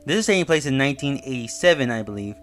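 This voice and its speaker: A man's voice: medium pitch, expressive, very fast, a little hiss or hum. A man hosting his own channel, casually recapping and reviewing a horror web series, talking loosely with fillers and self-corrections.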